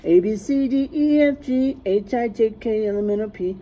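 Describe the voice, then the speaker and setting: A middle-aged woman reading a rhyming picture book aloud like a teacher to young children, sing-song and deliberate, stressing rhymes and letters. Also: a little high, muffled recording. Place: small classroom, indoors.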